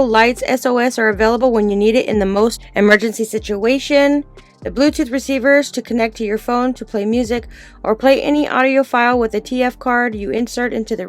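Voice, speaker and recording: A woman with a somewhat high voice presents a casual product demonstration. She explains things informally, one step at a time, with music playing behind her. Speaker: product reviewer.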